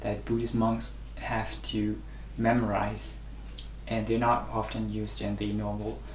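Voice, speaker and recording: A man's voice: lowish voice, completely flat delivery, measured pace, echoey room, slight background noise, low-quality recording. A man whose first language is Burmese, teaching a language lesson and patiently repeating each sound three times. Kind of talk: slow instructional lesson.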